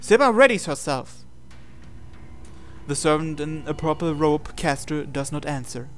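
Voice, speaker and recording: A man with a somewhat high voice narrates a visual novel playthrough. He reads the story text dramatically and adds his own commentary as host. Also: animated, measured pace, faint music behind. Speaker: host reading a game aloud.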